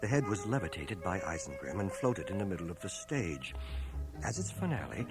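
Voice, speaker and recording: An actor playing a reflective middle-aged scholar who narrates a radio drama in a measured, dry, literate way. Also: male voice, deep voice, very animated, over loud music. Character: reflective fifty-year-old male scholar recounting his adventures. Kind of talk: scripted first-person radio drama narration. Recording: old radio cassette transfer.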